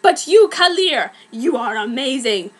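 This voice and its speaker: A woman's voice: sits high, lively intonation, measured pace. A woman voicing a harsh, theatrical female cheerleading coach in a story reading. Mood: gushing.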